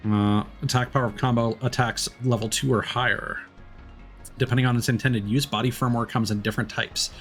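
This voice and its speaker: Mid-pitched male game streamer over faint music. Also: fairly even intonation, fairly quick. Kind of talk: live let's play, reading game text aloud and commenting.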